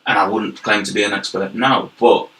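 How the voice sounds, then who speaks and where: A man's voice: on the low side, flat delivery, moderate pace, sharp tone. A young man with a Yorkshire accent, from York, talking earnestly as an interview guest and explaining his work at length, often repeating words as he goes. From York, England. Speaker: guest, health and wellbeing manager. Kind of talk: casual interview chat. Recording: roomy echoey recording.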